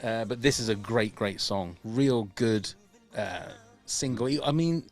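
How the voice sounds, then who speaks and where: A man's voice: fairly low pitch, measured pace, faint music behind. A man hosting a podcast over a video call, chatty and self-deprecating, often laughing at himself. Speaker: podcast host. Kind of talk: casual podcast chat.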